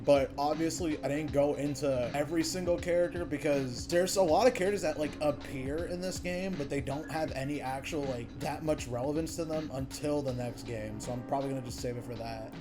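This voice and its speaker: Higher-pitched man over music, casual commentary. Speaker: video game reviewer.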